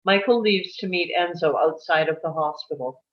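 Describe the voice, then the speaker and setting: A woman's voice: lowish voice, moderate pace. Woman narrator on dull, lo-fi audio. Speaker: narrator reading stage directions. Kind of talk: scripted narration for a live table read.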